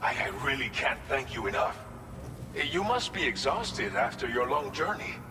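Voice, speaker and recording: A man plays an earnest official of a post-apocalyptic settlement in a game cutscene. His mid-pitched voice is polite and slightly hesitant, with a grateful air. Clear rumbling ambience sits behind him. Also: very animated, moderate pace, background noise, natural voice. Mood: grateful, courteous. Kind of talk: video game cutscene acting.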